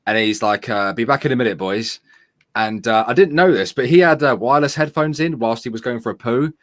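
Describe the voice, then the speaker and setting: A man's voice: medium pitch, a little fast, no echo, clean studio sound. Chatty man on a call. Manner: chatty, rambling anecdotes. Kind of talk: casual livestream chat. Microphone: remote call.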